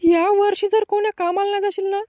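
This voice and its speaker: Man putting on a shrill nagging village mother. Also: flat delivery, fast talker, no echo. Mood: exasperated scolding. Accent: rural Maharashtrian. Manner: nagging, sharp-tongued.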